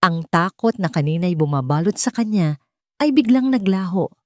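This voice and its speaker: A woman's voice: lowish voice, expressive, moderate pace, warm tone, dry recording, clean studio sound. A woman narrating a scripted dramatic story as an audiobook reader.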